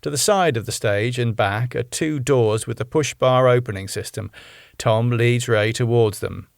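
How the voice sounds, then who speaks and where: A man reads the scene directions of his own script in a plain, even voice. His pitch sits in the middle for a man. The sound is close and dry. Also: moderate pace, gravelly, no echo. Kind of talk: reading aloud scene directions.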